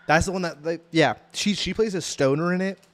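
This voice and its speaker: A man's voice: fairly high pitch, fairly quick. A man with an American accent chatting casually as a podcast co-host, rambling through a TV show review with slangy emphasis.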